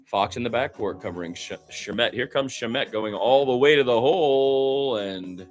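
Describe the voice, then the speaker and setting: A man's voice: medium pitch, moderate pace, soft background music. A man doing live radio play-by-play of a basketball game in short, clipped phrases that rise with the action. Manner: narrates action in short clipped phrases, rising excitedly on big plays.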